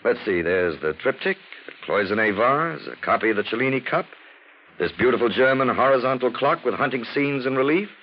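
An actor playing a witty, condescending gentleman detective in a 1940s radio drama, talking in an arch, ornate, teasing way. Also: mid-range voice, lively intonation, fairly quick, lightly acted. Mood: urbane, wry. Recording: dull, noisy vintage radio recording.

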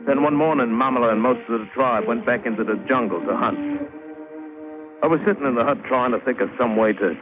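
An actor playing a rough, crafty English sailor in a 1950s radio drama, with colloquial, ungrammatical seafaring talk and a sardonic, scheming manner. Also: male voice, mid-range voice, lightly acted. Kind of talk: 1950s radio drama acting, first-person narration and dialogue. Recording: muffled, narrow-band vintage radio broadcast recording.

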